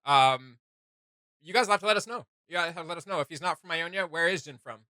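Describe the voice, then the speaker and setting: A man's voice: fairly high pitch, quick pace, sharp tone, no room sound, studio quality. A man hosting a reaction video, commenting casually in an enthusiastic, conversational way.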